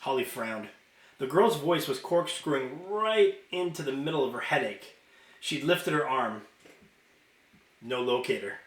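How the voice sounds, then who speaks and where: A man's voice: mid-range voice, animated, measured pace. Man reading a story aloud, echoey room. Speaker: reader of a children's novel. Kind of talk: read-aloud storytelling with informal asides.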